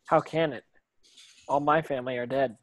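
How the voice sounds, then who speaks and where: A man's voice: medium pitch, fairly even intonation, normal speed, slight background noise, natural voice. A man playing an earnest young orphaned boy wizard in a scripted read, plain-spoken and yearning. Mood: downcast. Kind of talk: scripted table read acting.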